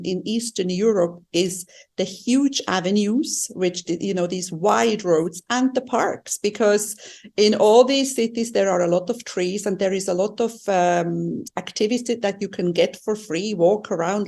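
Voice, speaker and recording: A woman's voice: mid-range voice, creaky, darkish tone. A middle-aged woman from Switzerland co-hosting a podcast in casual, conversational travel talk. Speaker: podcast co-host.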